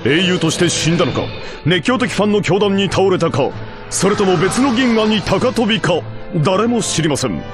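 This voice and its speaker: An actor playing a grandiose TV documentary announcer in a video game, formal and dramatic. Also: male voice, high-pitched, lively intonation, normal speed. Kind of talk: TV show narration parody in a game. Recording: music behind the voice.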